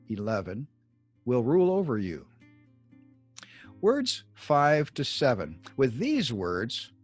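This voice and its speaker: A man reading scripted Bible teaching like an audiobook author, deliberate and emphatic, with measured pauses. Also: medium pitch, husky, no room sound, studio quality. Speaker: author reading own book excerpt.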